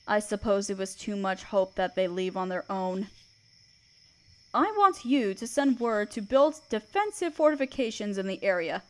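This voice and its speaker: A woman playing a gentle, motherly, regal spider queen in a comforting roleplay audio drama, speaking in a soft, deliberate, soothing way. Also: mid-range voice, lively intonation, lightly acted. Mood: regal, stern.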